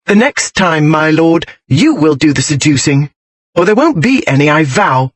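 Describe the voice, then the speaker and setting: A man's voice: on the high side, big pitch swings, moderate pace, no room sound. A man voicing a strong-willed, outspoken young lady in an audiobook, with forthright, emphatic period speech. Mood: exasperated, insistent. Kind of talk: audiobook character voice.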